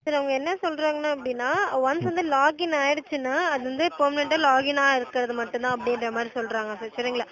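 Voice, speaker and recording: High-pitched Tamil woman on support calls. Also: fairly even intonation, quick pace, fairly bright tone, rough recording. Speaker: customer service agent and translator. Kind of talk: phone support call, interpreting.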